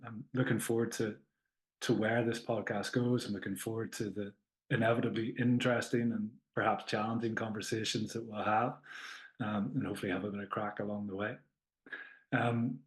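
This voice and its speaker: A man hosts a podcast, his voice sitting at a middling pitch. He talks earnestly and haltingly, restarting his phrases. The recording has a noticeable room echo. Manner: earnest, hesitant, frequent restarts. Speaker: podcast host. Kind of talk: podcast introduction and interviewing. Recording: echoey room.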